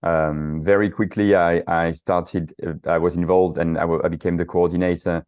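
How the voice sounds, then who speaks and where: A middle-aged Frenchman with a French accent speaks in a very low, deep voice. He answers as an interview guest, hesitant and full of fillers as he restarts his sentences. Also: flat delivery, normal speed, darkish tone, clean tone, lo-fi sound. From south of France. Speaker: guest, author and activist.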